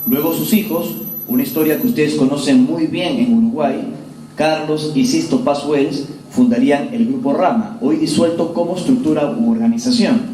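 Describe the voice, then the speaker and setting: A man in his forties from Peru giving a public lecture, telling his story to the audience in an earnest, measured way. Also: on the high side, dark tone, distant mic, slight background noise. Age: 40s. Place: lecture hall. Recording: roomy hall with faint ambience.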